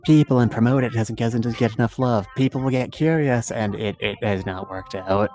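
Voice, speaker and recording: A man chatting casually as the guest in a livestream interview, wordy and self-deprecating, often halting mid-phrase. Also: mid-range voice, a little mellow.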